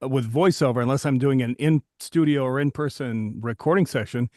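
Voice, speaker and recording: A man's voice: medium pitch, a little fast, darkish tone, no room sound, studio quality. A man co-hosting a podcast as a voiceover coach, chatting casually and joking with candid, occasional swearing.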